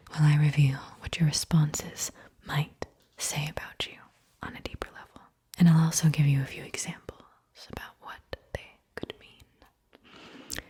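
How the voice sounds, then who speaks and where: A woman whispering in a breathy voice as she hosts an ASMR guided visualization, speaking straight to the listener and softly checking in with questions. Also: low-pitched. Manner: gentle direct address with soft check-in questions. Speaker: ASMR host guiding listeners.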